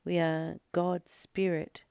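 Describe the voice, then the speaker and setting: A woman reading a spiritual study text aloud and explaining it informally, in a halting, reflective way with pauses between short phrases. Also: lowish voice, level tone, creaky, warm tone, clean tone, no room sound. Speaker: spiritual study reader and commentator. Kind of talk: slow read-aloud study with informal commentary.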